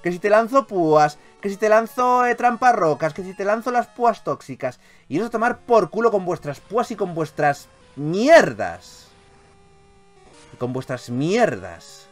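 A man with a Castilian accent from Spain giving excitable, sweary let's play commentary as a gaming YouTuber. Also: sits high, unhurried, faint music behind. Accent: Castilian Spanish from Spain. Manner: animated, expressive, swears freely.

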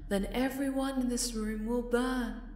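A woman playing a possessive, lovesick supervillainess in a dramatic ASMR roleplay, with silky threats and breathy half-whispers. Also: a little high, fairly even intonation, unhurried, darkish tone, reverberant, distant mic, slight background noise, natural voice. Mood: menacing. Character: menacing, lovesick winged supervillainess, possessive and theatrical.

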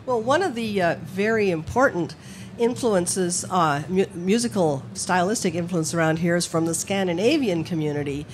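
Older woman, lowish voice, hesitant, restarts, stand mic, large tent, faint ambience. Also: normal speed, a little hiss or hum. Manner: hesitant, wandering, many restarts. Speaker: fiddler panelist. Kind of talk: casual explanation on stage. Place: large tent at outdoor festival.

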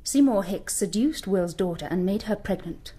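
An actress playing a frank, proud, grieving Victorian stage actress in a radio drama, formal and theatrical. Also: female voice, mid-range voice, lively intonation, normal speed, dark tone, husky. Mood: bitter.

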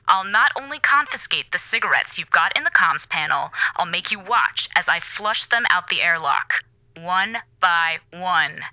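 An actress playing a stern, by-the-book space station commander, clipped, commanding and sardonic, in a radio drama. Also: female voice, lowish voice, animated, a little slow, bright, forceful tone, no echo, heavily acted, poor audio. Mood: stern, threatening.

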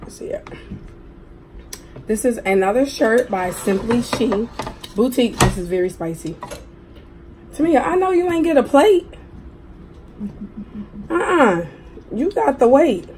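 A middle-aged woman with a hoarse voice, chatting casually to viewers as a mukbang host at a table. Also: on the high side. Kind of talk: casual eating vlog chat. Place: indoors at a table at home. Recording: clearly noisy room recording.

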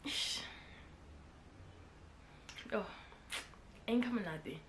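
A young South African woman with a Pretoria accent talks playfully to her camera at home, now and then slipping into snatches of song. Her voice sits high and sounds bright and forceful. The room gives it a roomy echo. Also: drawn-out words, slight background noise. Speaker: vlogger. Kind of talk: casual vlog chat mixing English and Sepitori. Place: indoors at home, in front of a brick wall.

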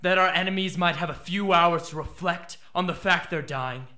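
Man playing an intense young rebel, bright-voiced. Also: high-pitched, normal speed. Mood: angry, bitter. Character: intense, embittered young male rebel strategist. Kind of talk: radio drama acting.

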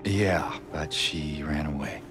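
A man's voice: rumbling low, slow pace, music in the background. An actor playing a weary, hesitant man with a subdued, awkward manner in a video game cutscene. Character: hesitant, weary, distracted man searching for his wife. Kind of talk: dramatic cutscene acting.

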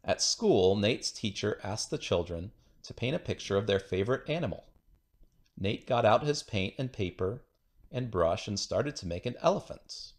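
A man reads a picture book aloud to children. His voice sits on the low side and swings widely in pitch, with an expressive storyteller's delivery. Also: moderate pace. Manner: expressive storytelling with acted dialogue. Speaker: storybook reader.

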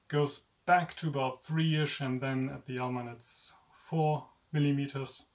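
A young man with a German accent, a native German speaker, chatting casually through a sword review in a room lined with swords, in a relaxed, self-deprecating way. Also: fairly high pitch, recorded from a distance. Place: indoor room with swords on wall rack. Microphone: camera at chest-up framing.

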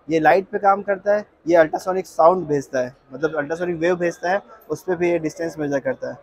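Higher-pitched Indian man explaining products. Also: darkish tone. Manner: explanatory, question-and-answer delivery. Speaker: exhibitor, industrial automation company employee. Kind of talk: product demonstration. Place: industrial exhibition stall.

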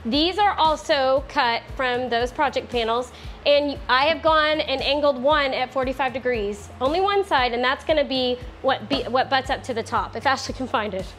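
A woman in her thirties hosting a casual woodworking tutorial in her home garage, chatty and quick to correct herself. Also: high voice, fairly bright tone, faint music behind. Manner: chatty, self-correcting, laughs often. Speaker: DIY woodworking host.

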